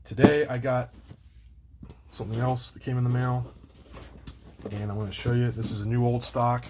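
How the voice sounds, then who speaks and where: A man with a medium-pitched voice talks through a casual product review. He rambles and doubles back on what he has said, in an unscripted way. The recording has noticeable background noise. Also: little pitch movement, moderate pace. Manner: rambling, repeats and circles back over points. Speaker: video host doing product unboxing and review.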